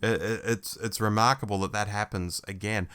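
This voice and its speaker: A man's voice: on the low side, fairly even intonation, moderate pace, fairly bright tone, clear, resonant tone. A man co-hosting a podcast in casual review discussion, reflective and inclined to think through questions aloud. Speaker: podcast co-host reviewing audio drama.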